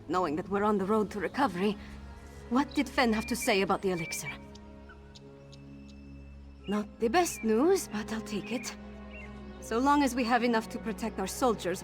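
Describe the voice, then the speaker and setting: A woman's voice: medium pitch, close to an everyday voice. A woman voicing an earnest young ruler. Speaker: young ruler addressing her people. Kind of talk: video game dialogue.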